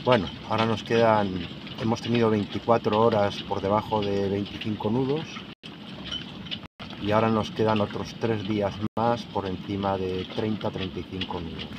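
A man's voice: fairly low pitch, steady tone, normal speed. Chatty older man vlogging outdoors. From Spain. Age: 60s. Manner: chatty, talks to viewers while walking and working. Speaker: retired sailor vlogger. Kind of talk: casual vlog narration. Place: outdoors on a boat, beach and town. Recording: noisy outdoor camera audio.

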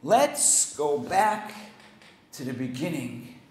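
A man voicing a clever fox who takes charge as judge, speaking briskly and with authority. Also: a little high, expressive, a little mellow, reverberant, pitched below the performer's own voice. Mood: authoritative. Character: sly wise fox acting as judge.

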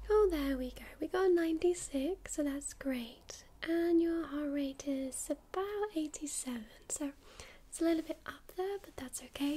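High, gently whispering woman. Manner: soft, gentle, reassuring whisper with calm step-by-step instructions. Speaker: ASMR creator playing a first-aid medic. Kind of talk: whispered ASMR medical roleplay.

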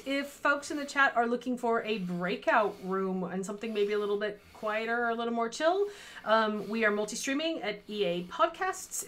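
A woman's voice: medium pitch, fairly bright tone. A woman in her thirties co-hosting a charity game stream from home on a headset mic, chatty and easily distracted. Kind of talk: casual livestream chat. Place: home room with fairy lights behind.

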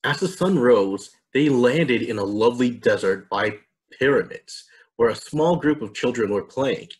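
Expressive man narrating for children. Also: mid-range voice, measured pace, poor audio. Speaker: storybook reader and presenter. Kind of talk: scripted narration for children and families.

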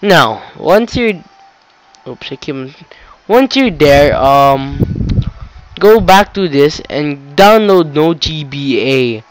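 Slow-talking teen boy with a cold. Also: a little high, lively intonation, poor audio. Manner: rambling, self-correcting, swears casually. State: has a cold. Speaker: tutorial maker. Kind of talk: casual screen-recorded tutorial. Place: indoor room at a computer.

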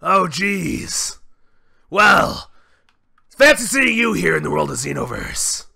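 A man voicing a hulking, grudge-holding male warrior in a gravelly, husky, roaring voice during fan gameplay voice acting. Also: high-pitched, very animated, slow, pushed and bright, full character voice. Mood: friendly.

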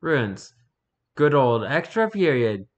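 A man's voice: medium pitch, big pitch swings, takes it slowly, dull audio. A man reading aloud casually with wry offhand asides, sometimes stumbling over the words as he goes. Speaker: streamer reading and reacting to wiki pages.

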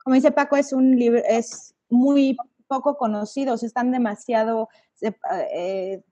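Mellow Mexican woman hosting a video call. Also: on the high side, fairly even intonation, moderate pace, no echo. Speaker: host. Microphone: webcam on video call. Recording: dull lo-fi video call audio.

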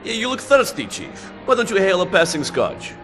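Flamboyant, swooping vampire socialite. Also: male voice, high-pitched, huge pitch swings, normal speed, a bit bright, full character voice. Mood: smarmy, flirtatious. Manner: unctuous, flamboyant, drawling. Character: suave, theatrical vampire party host villain. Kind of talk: video game villain acting. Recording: game audio under clear music.

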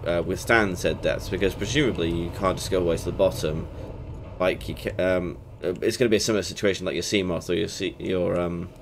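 A man's voice: low voice, a little hiss or hum. A young British man hosting a casual game playthrough, chatty and rambling, a bit congested from a cold. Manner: chatty, rambling, self-correcting. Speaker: gaming channel host. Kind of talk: casual let's play commentary. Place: home gaming setup, indoors. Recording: game music playing under voice.

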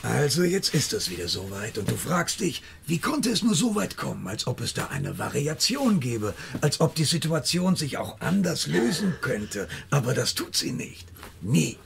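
An actor playing a menacing, pompous, world-weary debt collector who lectures deliberately in a German radio crime drama. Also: male voice, medium pitch, big pitch swings, vocal fry, a little hiss or hum. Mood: coldly menacing.

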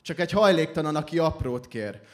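A man's voice: fairly high pitch, lively intonation. A young man from Hungary reciting slam poetry live with an emphatic, dramatic delivery. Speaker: slam poet performing.

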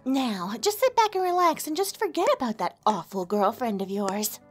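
A high-pitched, sugary young woman, played by a voice actress speaks over music in an ASMR roleplay. She is coy and hesitant, with a clingy, possessive devotion aimed straight at the listener. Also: expressive, moderate pace. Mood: lovestruck, possessive.

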